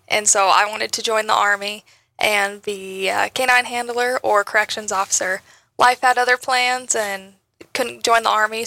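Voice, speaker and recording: A woman's voice: mid-range voice, gravelly, sharp tone, no room sound, low-quality recording. A young woman from Montana who serves as a Navy sailor, talking earnestly and with feeling about her family. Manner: earnest and heartfelt. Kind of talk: radio interview.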